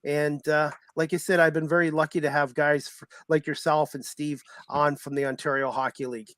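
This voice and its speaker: Guy hosting sports talk show, voice a bit high, casual halting phrasing, dry audio. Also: level tone, a bit bright, dry recording. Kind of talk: casual interview chat.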